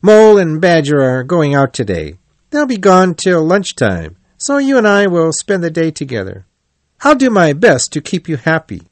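A man voicing a cheerful, brisk male water rat in an animal storybook, with a bright, practical manner. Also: a little high, wildly swooping pitch, no room sound, pitched above the performer's own voice.

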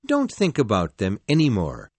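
A man voicing a grave, protective middle-aged father in storybook dialogue, quiet, earnest and warning. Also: lowish voice, wildly swooping pitch, normal speed, breathy edge, no echo, heavily acted. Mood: firm, protective.

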